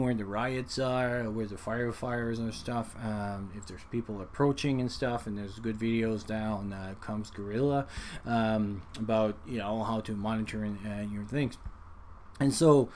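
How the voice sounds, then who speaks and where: Guy chatting casually, lots of hesitating and rambling, some noise behind him. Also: mid-range voice. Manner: halting, rambling, with frequent hesitations. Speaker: hobbyist video presenter. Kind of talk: casual unscripted explanation.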